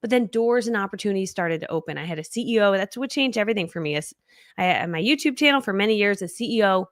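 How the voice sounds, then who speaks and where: A woman's voice: mid-range voice, expressive, fast talker, dry recording, clean studio sound. A woman guest in a casual interview, chatting in a bubbly way with frequent laughs and fillers. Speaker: guest speaker.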